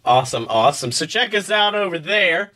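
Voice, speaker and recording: High pitched guy hosting a podcast, animated, sweary, super casual chat. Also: fairly quick. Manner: animated, profane, enthusiastic. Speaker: podcast host. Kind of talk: casual chat and fan fiction reading.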